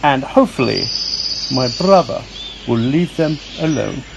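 A man voicing an excitable, talkative young squirrel who tells children a story in a theatrical, self-important way. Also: mid-range voice, unhurried, vocal fry, poor audio. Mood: earnest, self-important. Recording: faint noise with birdsong and crickets.

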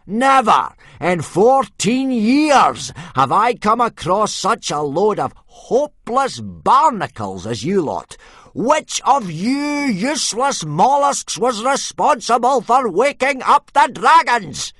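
A man voicing a gruff, blustering male Viking drill instructor who bellows at his young trainees. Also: fairly high pitch, expressive, a little slow, a bit bright, no echo, studio quality, voice pushed up. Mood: bellowing.

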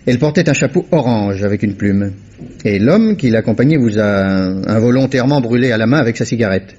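Lombard, a dogged investigator. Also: male voice, mid-range voice, expressive, fairly quick, darkish tone, husky. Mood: insistent. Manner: insistent, probing questioner. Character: persistent male amateur investigator, Lombard. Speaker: lead in a radio play. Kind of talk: 1950s radio drama acting. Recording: dull old radio recording.

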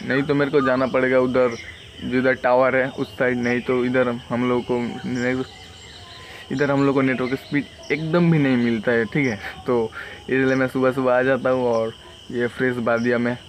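A man's voice: mid-range voice, level tone, normal speed. Young man, noisy outdoor recording. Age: young adult. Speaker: vlogger. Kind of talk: casual selfie vlog chat. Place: outdoors in a rural village. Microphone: phone at arm's length. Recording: noisy outdoor phone recording, insects, vehicles.

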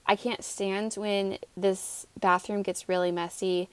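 Young american lady doing a casual vlog, chatty and upbeat, medium pitch. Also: level tone, slow pace, clean tone, no room sound. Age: 20s. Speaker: home vlogger. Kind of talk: casual vlog narration with product promotion.

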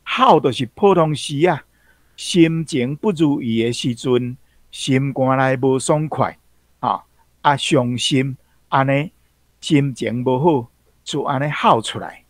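A man's voice: mid-range voice, takes it slowly, no room sound. A man with a Taiwanese accent explaining vocabulary as a guest teacher on a programme. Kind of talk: explanatory commentary.

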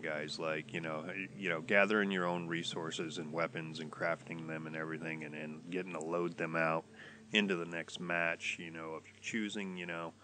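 Deep, stuffy-nosed man rambling over gameplay. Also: fairly bright tone. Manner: rambling, hesitant, many restarts. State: stuffy from a cold. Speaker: gaming YouTuber. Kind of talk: casual gameplay commentary.

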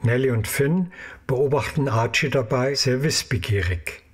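A man's voice: mid-range voice, unhurried, husky. A man narrating an audio drama in a scripted, storytelling style.

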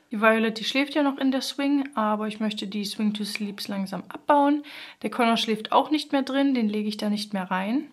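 A woman in her thirties chatting casually in a daily family vlog, recorded at home on a camera at arm's length. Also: medium pitch. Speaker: family vlogger, mother of three. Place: furnished rooms at home, indoors. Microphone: handheld or propped camera at arm's length.

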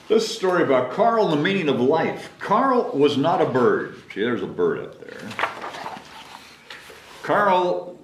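A man's voice: on the high side, a little hiss or hum. A man in his sixties reads a picture book aloud to children expressively, speaking simply to them in a small room. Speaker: mayor reading at a library children's story time. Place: small meeting room with whiteboard, at a table. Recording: roomy, echoing indoor sound.

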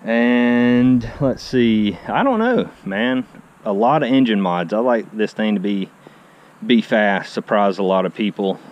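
Enthusiastic man, lowish voice. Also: lively intonation, unhurried, dull audio. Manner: enthusiastic, chatty, says "I mean" often. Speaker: car channel host. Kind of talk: casual walkaround vlog. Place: outdoor driveway beside a garage. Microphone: handheld action camera. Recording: faint outdoor background noise. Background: faint outdoor ambience.

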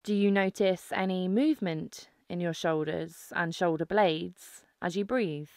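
Slow, smooth-voiced woman guiding meditation. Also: on the low side, dry recording, studio quality. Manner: calm, instructive, unhurried with pauses. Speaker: guided meditation instructor. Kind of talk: guided mindfulness meditation narration.

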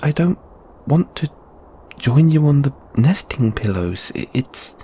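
A man playing a shy, anxious, lovelorn young lamia flatmate in an intimate audio roleplay, speaking hesitantly with stammers and sighs. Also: lowish voice, breathy edge, exaggerated voice.

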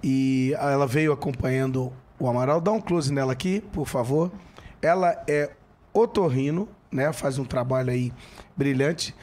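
A man's voice: mid-range voice, measured pace, darkish tone. A middle-aged man with a Brazilian accent, hosting a podcast in a studio on a handheld mic, reading ads with energy. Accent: Brazilian Portuguese. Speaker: podcast host. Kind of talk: casual podcast talk with live ad reads.

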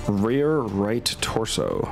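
Low-voiced male game master, wry and mock-formal, narrating dice rolls over prominent music. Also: huge pitch swings, extremely slow, vocal fry. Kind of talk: narrating a tabletop war game on stream. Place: indoors at home, online group call. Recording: music playing behind the voice.

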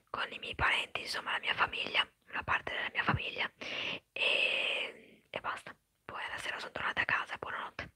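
A woman whispering quickly and chattily in an ASMR live stream, answering viewers' comments. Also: bright, forceful tone, dry recording. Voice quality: breathy whisper. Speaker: ASMR creator.

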